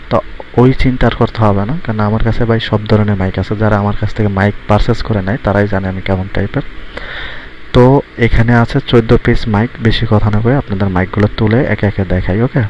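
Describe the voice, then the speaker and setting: A man's voice: lowish voice, lo-fi sound. A man casually showing off microphones for sale, chatty and repetitive, often saying hello and okay. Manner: chatty, repeats hello and okay as he tests mics. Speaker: seller demonstrating microphones. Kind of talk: casual product demo vlog. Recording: echoey room with loud noise.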